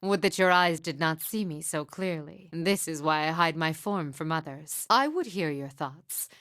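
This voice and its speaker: A woman's voice: low voice, expressive, moderate pace, a bit bright. An actress playing an enigmatic, mournful woman seer in a fantasy video game, speaking in a formal, cryptic and solemn manner. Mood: calm, quiet. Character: enigmatic, soft-spoken, mournful woman seer, veiled from others' eyes.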